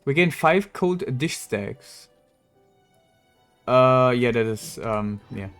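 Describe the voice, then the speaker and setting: A man's voice: medium pitch, lively intonation, takes it slowly, a bit bright, faint music behind. A man streaming a video game, giving casual, offhand and jokey commentary as he plays.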